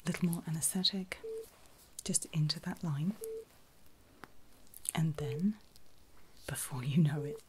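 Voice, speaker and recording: A woman speaking in a soft, breathy whisper, gently reassuring the listener as a medical carer in an ASMR roleplay. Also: low voice, unhurried, long pauses, slight background noise, rough recording.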